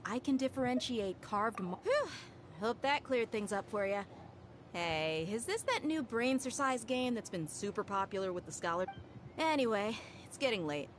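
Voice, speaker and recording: A woman's voice: medium pitch, a little hiss or hum, natural voice. A woman playing a confident female fighter. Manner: confident, matter-of-fact. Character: confident female professional fighter, matter-of-fact. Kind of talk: game dialogue.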